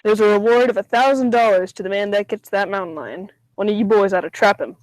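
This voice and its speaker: A man's voice: sits high, fast, dry recording, close to an everyday voice, low-quality recording. Man playing a folksy, teasing game warden. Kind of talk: radio comedy sketch acting.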